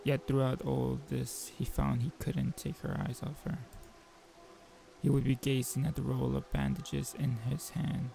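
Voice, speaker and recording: A man with a gravelly voice reading a visual novel aloud as a game player, mixing narration with informal joking asides. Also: mid-range voice, unhurried, mellow, slight background noise, poor audio. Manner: informal, joking commentary between read passages.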